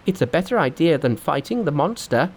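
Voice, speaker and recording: A man voicing a cocky, quick-witted monkey hero in a storybook reading, assured and decisive. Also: a little high, big pitch swings, voice pushed up. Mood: confident. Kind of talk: storybook narration with character voices.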